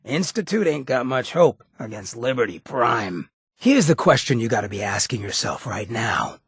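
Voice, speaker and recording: Streetwise male ghoul drifter, extremely husky rasp, cocky slangy drawl, roguish with a conscience, video game dialogue, dry studio sound. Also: mid-range voice, animated, normal speed, no echo. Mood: wry, cynical. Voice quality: very raspy, gravelly.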